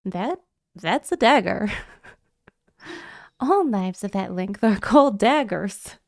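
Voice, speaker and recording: A woman's voice: mid-range voice, expressive, slow pace, vocal fry, darkish tone. A woman voicing a cocky, casual young male adventurer in a video game, brash and informal. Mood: explaining.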